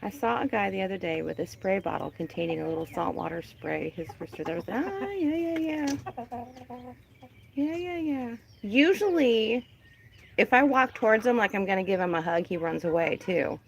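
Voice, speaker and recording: A woman chatting casually on a live stream from her chicken coop outdoors, talking to her birds, with a calm, disinterested-sounding voice. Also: mid-range voice, moderate pace, creaky, noisy background. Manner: chatty, laughs often, talks to her birds. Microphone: phone held in hand.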